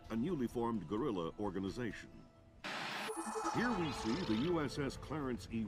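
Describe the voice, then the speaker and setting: An actor playing a composed TV news anchor, reading world events in a measured, formal way. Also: male voice, mid-range voice, lightly acted. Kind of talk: scripted news narration.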